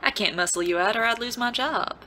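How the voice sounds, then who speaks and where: A woman's voice: a little high, a little fast, sharp tone, slight background noise. A woman playing a coolly menacing, sugary-polite gunslinger from a saloon in a Western audio drama, folksy and teasing.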